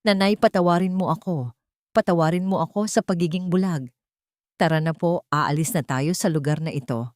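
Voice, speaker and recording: A woman acting out a remorseful adult son in an audio story, his voice climbing high and raw whenever he begs. The sound is dry and close to the mic. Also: normal speed, darkish tone, no echo, natural voice. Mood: tender. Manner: pleading, emotional outbursts. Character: grown son, remorseful and desperate.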